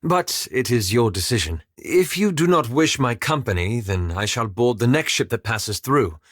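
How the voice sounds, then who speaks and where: A reserved, scholarly male adventurer from a fantasy game. His mid-pitched voice moves expressively through formal, careful phrasing. He is polite and a little apologetic, recorded very dry. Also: animated, a bit bright, dry recording. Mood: formal, tentative. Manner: formal, measured, polite, hesitant diction. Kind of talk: video game character dialogue.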